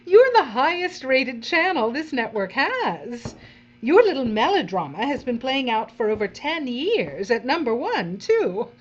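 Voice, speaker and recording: A woman's voice: high-pitched, lo-fi sound, full character voice. A woman playing a breezy, callous female television boss in an audio drama, theatrical and drawling, with condescending endearments. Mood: gloating, condescending.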